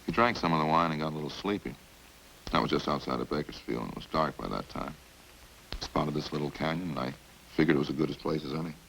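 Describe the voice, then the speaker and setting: An actor in a 1950s radio crime drama playing a chatty killer who confesses in a casual, folksy, matter-of-fact way. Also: male voice, extremely low pitch, fast talker, creaky, a bit bright, heavily acted, low-quality recording. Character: chatty, hungry male killer calmly confessing over supper. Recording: hissy old-time radio recording.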